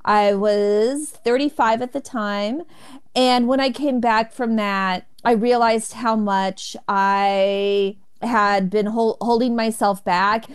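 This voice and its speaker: A woman who stutters speaks openly as an interview guest, catching on first sounds before pushing through. Her voice is smooth and clear at a middle pitch. She tells her story with animated candor. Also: takes it slowly. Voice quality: stutters, with repeated opening sounds. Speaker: comedian and author, guest. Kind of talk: interview conversation.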